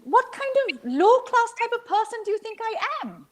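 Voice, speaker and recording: A woman's voice: very high voice, big pitch swings, moderate pace, voice pushed up. A woman voicing an exasperated, indignant mother who snaps back in questions during a dramatic reading. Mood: mock indignant. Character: exasperated Sri Lankan mother, sharp and defensive.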